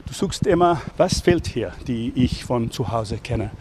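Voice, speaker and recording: A man's voice: medium pitch, expressive, a little slow, noisy background. A man from England with an English accent, chatting hesitantly with many fillers while walking outdoors as a tour guide. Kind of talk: casual chat while walking. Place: outdoors on city streets.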